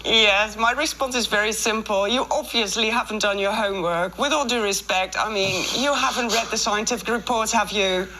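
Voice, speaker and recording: A woman's voice: mid-range voice, normal speed, hardly any pauses, sharp tone, rough recording. A woman from the Netherlands arguing as a guest in a broadcast debate, assertive and a little condescending. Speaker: crop circle researcher as debate guest.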